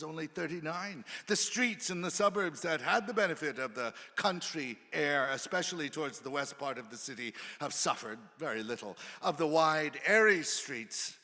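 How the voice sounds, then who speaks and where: A man giving a formal, theatrical dramatic reading of an old historical account, with emphatic phrasing and weighted pauses. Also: fairly high pitch, bright, forceful tone. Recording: reverberant hall with long echo.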